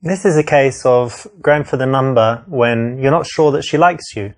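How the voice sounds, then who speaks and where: A man from England with a softened London accent, playing out a dating scene and giving dating advice between the lines. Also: medium pitch, moderate pace. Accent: London English, mostly softened. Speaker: dating coach in a video game. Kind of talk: acted dating scene with coaching asides.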